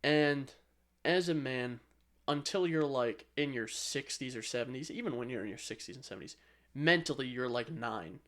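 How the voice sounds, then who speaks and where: A man's voice: mid-range voice, moderate pace, a bit bright. Blunt man chatting on a podcast. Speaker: podcast co-host. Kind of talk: casual opinionated chat.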